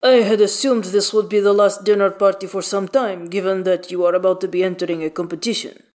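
A woman's voice: medium pitch, fairly even intonation, brisk, a little mellow, close to an everyday voice. A woman voicing a courteous, kindly man with formal, considered phrasing in an audio story. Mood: measured.

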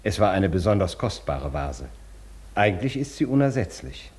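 A hotel porter in a radio play, formal and unbending. His voice sits fairly low, and he speaks with measured courtesy and an officious air. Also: sing-song, a little mellow. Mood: formal, regretful. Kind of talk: German radio play acting.

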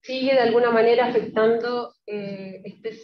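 A woman giving a public talk on poetry with readings, explaining in a halting way and often checking with short tag questions. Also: fairly high pitch, steady tone. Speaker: lecturer presenting Palestinian poetry. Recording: roomy, distant, dull lo-fi pickup.

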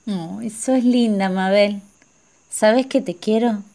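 An actress playing a gentle, calm, free-spirited woman in a radio drama. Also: medium pitch, slow pace. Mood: affectionate.

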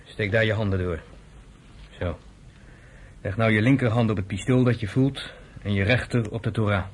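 An actor playing a wary, brisk underground fighter in a radio drama, giving orders and questions in a curt, commanding way. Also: male voice, lowish voice, breathy edge. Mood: formal, commanding. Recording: faint background noise.

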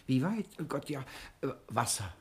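Husky, courteous, halting prisoner. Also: male voice, mid-range voice, very animated, darkish tone. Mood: dreamy, hesitant. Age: 30s. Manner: halting, courteous, groping for words. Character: polite man in his thirties feigning amnesia, prisoner Mister X. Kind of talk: 1960s German radio play acting. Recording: vintage 1960 radio drama studio recording.

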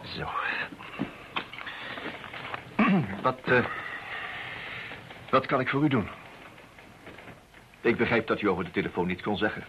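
Radio drama role: urbane private eye, lowish voice with very lively intonation, wry and teasing. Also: male voice. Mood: courteous. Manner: urbane, teasing, hesitant little pauses. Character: wry, self-assured male private detective. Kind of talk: Dutch radio play acting. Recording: muffled, faintly noisy old radio recording.

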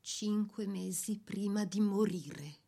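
A woman narrating a scripted biography for a radio drama in a measured, literary storytelling manner. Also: mid-range voice, vocal fry, over loud music.

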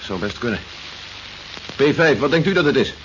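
A man's voice: mid-range voice, very animated, normal speed, natural voice. Man acting a terse army general, dull old recording. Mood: brisk, commanding. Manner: terse, authoritative. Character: weary, commanding male army general on alert. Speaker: actor in a radio play. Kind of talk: 1970s science fiction radio drama acting. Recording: dull 1970s radio recording, faint hiss.

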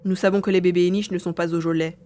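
A woman's voice: fairly low pitch, flat delivery, fast talker, mellow, a little hiss or hum, lightly acted. A woman acting a determined young boy adventurer in a French audio drama, with an earnest, dramatic delivery. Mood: pensive.

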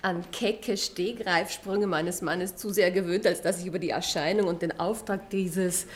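An actress playing a composed, tolerant wife with wry amusement and urbane speech in a German radio play. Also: female voice, lowish voice, fairly even intonation, moderate pace, close to an everyday voice. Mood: amused, composed.

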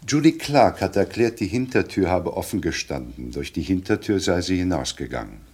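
An actor playing a sober, exacting detective who reasons formally and insistently in a radio crime drama. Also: male voice, low voice, lively intonation, moderate pace, a little mellow, husky. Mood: grave, insistent. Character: sober male detective, professionally proud and exacting.

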